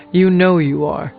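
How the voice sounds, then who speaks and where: Male earnest game warrior, fairly high voice, formal and blunt, on thin phone-like audio. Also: vocal fry, full character voice. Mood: encouraging. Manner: measured, formal, direct. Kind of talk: scripted video game dialogue. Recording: phone-like narrow band, music behind.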